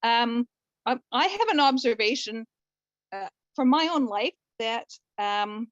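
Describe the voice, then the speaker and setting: A woman's voice: fairly high pitch, animated, slow pace, dry recording. Canadian woman, muffled call audio. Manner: chatty, rambling, friendly. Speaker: family doctor. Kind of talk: participant comment in online session. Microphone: video call.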